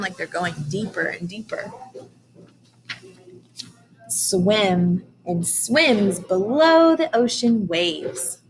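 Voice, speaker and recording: A woman's voice: medium pitch, slight background noise, poor audio. A woman teacher reading aloud to young children, explaining and asking questions in simple, emphatic language.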